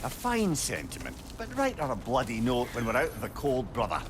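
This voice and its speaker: An actor playing a wry, talkative old companion in a video game, with a sardonic, wordy manner. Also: male voice, medium pitch, huge pitch swings, brisk, background noise. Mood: dry sarcasm. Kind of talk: video game character acting. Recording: game music behind.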